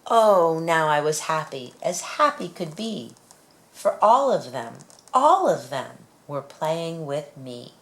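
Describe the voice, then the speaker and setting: Low-voiced woman reading a children's storybook. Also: sing-song, slow pace, a bit bright, slight background noise. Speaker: storybook reader. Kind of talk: children's picture book read-aloud.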